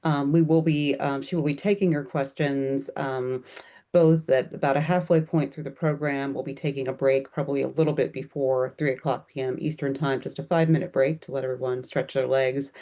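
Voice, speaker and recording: A woman with a mellow, warm tone moderates an online event over a video call. She speaks in a relaxed way, with frequent ums and pauses. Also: a bass voice. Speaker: moderator running the online program. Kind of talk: procedural announcements on a video call.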